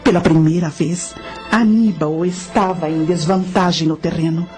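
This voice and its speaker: A woman playing a knowing guide who narrates ancient history in a radio drama, measured and instructive. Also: on the low side, fairly even intonation, warm tone, faint music behind, natural voice.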